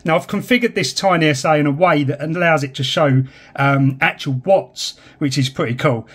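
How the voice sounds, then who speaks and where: A man's voice: on the high side, moderate pace, a bit bright, breathy edge. A man in his forties presenting a casual, enthusiastic, informal tech review and explaining as he goes. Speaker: amateur radio vlogger and presenter. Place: small indoor room, home radio shack.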